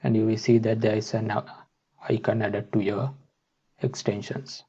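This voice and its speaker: A man narrating a step-by-step software tutorial in a halting, instructional way, on a dull, muffled microphone. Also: on the low side, flat delivery, husky.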